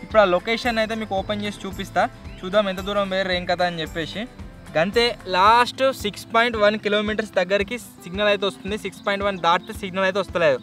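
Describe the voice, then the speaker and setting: A man's voice: high-pitched, fairly even intonation, rapid-fire, pushed and bright, soft background music. A young man with a Telangana accent presenting a casual vlog in an informal, slangy way. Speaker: video host.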